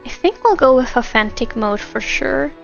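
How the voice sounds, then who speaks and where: Female game streamer: high, creaky voice, gushing casual reactions, slightly muffled audio. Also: expressive, normal speed. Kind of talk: live gameplay commentary, casual chat with viewers. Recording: dull microphone sound, game music behind. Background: video game music.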